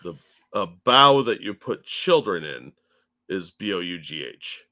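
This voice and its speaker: Co-host, male, lowish voice, relaxed, swears casually, reads chat aloud. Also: unhurried. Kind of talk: casual political commentary chat.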